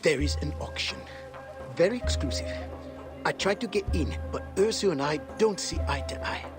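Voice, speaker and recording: A man's voice: on the high side, animated, normal speed, music in the background, lightly acted. A man doing a sly, jumpy curio merchant. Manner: fawning then hushed and secretive. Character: nervous, sly male antiquities merchant.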